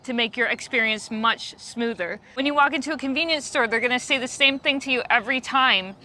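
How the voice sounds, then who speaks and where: Bright-voiced American woman explaining. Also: a little high, brisk. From United States. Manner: friendly, explains patiently, laughs easily. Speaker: guest language guide. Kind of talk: casual explanatory chat.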